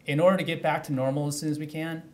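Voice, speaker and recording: A man's voice: on the high side, steady tone, very fast. A man, a county official, earnestly urging the public at a press conference and restarting phrases as he speaks into podium microphones. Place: indoor press room at a podium.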